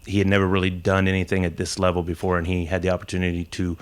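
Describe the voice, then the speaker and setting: A man with a deep voice shares an earnest reflection as an audience member. His intonation stays quite flat, and his wording is plainspoken. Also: very fast.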